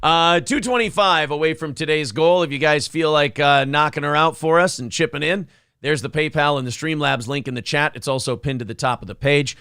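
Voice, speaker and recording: A male morning show host with a slightly high, creaky voice. He chats casually and jokes with plenty of swearing. The sound is dry and close. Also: a little fast, bright, forceful tone, dry recording. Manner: profane, joking. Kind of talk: casual comedic banter on current news.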